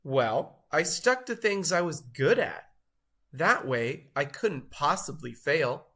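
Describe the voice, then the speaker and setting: A man voicing a boastful, show-off cheese in a theatrical, grandiose storybook read-aloud with drawn-out emphasis. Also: on the high side, expressive, a bit bright, exaggerated voice. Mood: smug.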